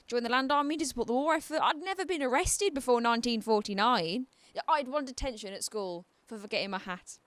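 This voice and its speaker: A woman's voice: a little high, no echo, close to an everyday voice. Woman acting a defiant, sardonic young British inmate. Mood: guarded, wry. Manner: sardonic, challenging. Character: defiant, bitter 26-year-old woman psychiatric inmate. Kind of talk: radio drama acting.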